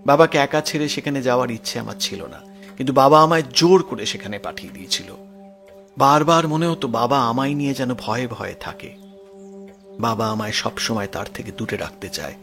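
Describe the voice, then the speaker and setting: An actor playing a grieving, lonely grown son in an audio detective drama, speaking earnestly and with emotion. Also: male voice, mid-range voice, creaky, a bit bright. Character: grieving, lonely grown son recounting his murdered parents' past.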